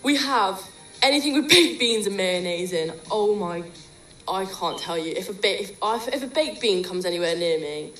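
A young woman, about twenty, hosting a student radio show in casual, jokey, opinionated chat, her voice a bit croaky. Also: medium pitch, lively intonation, moderate pace, fairly bright tone, poor audio. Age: young adult.

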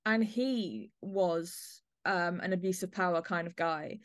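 A woman's voice: fairly low pitch, takes it slowly, dry recording, studio quality. A woman hosting a podcast, chatting and musing conversationally in an interview about drama. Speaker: podcast host. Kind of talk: interview chat about an audio play.

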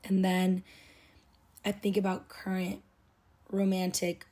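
A woman's voice: medium pitch, completely flat delivery, slow pace, mellow. A woman, hosting her own podcast in a rambling, confessional chat full of fillers. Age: 20s. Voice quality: raspy. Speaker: podcast host. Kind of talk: casual solo podcast monologue.

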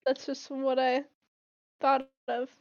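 A young woman, a guest on a casual podcast chat, who speaks softly with dry remarks. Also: high-pitched, monotone, takes it slowly, no echo. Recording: slightly dull lo-fi mic.

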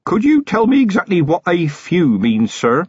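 A man's voice: high-pitched, wildly swooping pitch, moderate pace, a little mellow, slightly rough, dry recording, lo-fi sound, voice pushed up, full character voice. A man voicing a nervous young male rookie policeman in a small, quavering voice, stammering and deferential in an audiobook story. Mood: anxious.

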